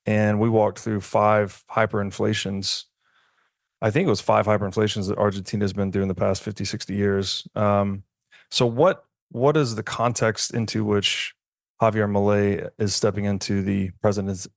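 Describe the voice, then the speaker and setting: A man hosting a podcast interview, setting up questions in a measured, inquisitive way. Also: lowish voice, flat delivery, vocal fry, dry recording, clean studio sound. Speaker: podcast host. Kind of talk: conversational interview.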